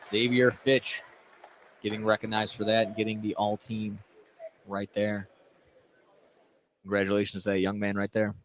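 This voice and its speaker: A man's voice: lowish voice, completely flat delivery, normal speed, long pauses, fairly bright tone. A man calling a live basketball game on radio as the play-by-play announcer. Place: indoor gym at a basketball tournament. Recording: noisy gym ambience behind.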